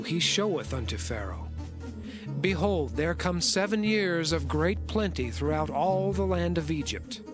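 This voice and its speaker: An actor playing an earnest, assured young Hebrew interpreter of dreams in a dramatized scripture reading, measured and solemn, over music. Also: male voice, fairly high pitch. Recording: music behind the voice.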